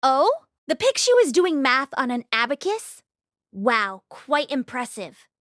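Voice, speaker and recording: A woman voicing an excitable, gushing restaurant customer gawking at a show in an audiobook. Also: high voice, unhurried, no echo, studio quality, heavily acted. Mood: excited. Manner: gushing, exclaiming.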